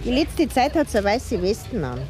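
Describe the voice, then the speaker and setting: A woman's voice: a little high, very animated, normal speed, over music. A woman with a Bavarian accent chatting casually in a colloquial, emphatic, gossipy way.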